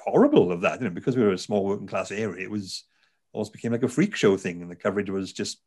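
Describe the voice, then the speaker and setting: A man's voice: lowish voice, animated, fast, darkish tone. A man from South Wales with a Welsh accent, an author and neuroscientist talking in a casual, rambling interview with lots of fillers and restarts. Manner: chatty, frequent fillers and restarts. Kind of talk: conversational interview.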